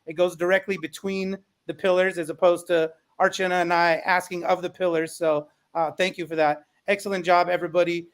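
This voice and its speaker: A man's voice: sits high, level tone, a little fast, hardly any pauses, fairly bright tone. A man co-hosting a podcast panel, moderating in an orderly, complimentary manner.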